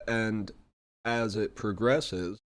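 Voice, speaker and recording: A man with a hoarse throat, getting over an illness, hosting a solo podcast in a reflective, rambling way. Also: on the low side, fairly even intonation, very slow. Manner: reflective, rambling, many fillers. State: hoarse, recovering from a sore throat. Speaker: podcast host. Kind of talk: casual solo podcast talk.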